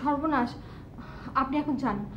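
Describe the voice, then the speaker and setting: A woman's voice: high-pitched, unhurried, reverberant, muffled recording, background noise. An actress playing a shy, cautious young woman in love in a TV drama, who speaks in a coy, nervous way. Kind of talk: TV drama acting.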